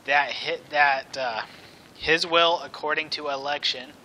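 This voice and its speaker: Male preacher, slightly high voice, slow and emphatic delivery from the pulpit. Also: fairly even intonation, bright, forceful tone, a little hiss or hum, poor audio. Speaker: preacher, church elder. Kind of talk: sermon. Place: indoors at a church pulpit.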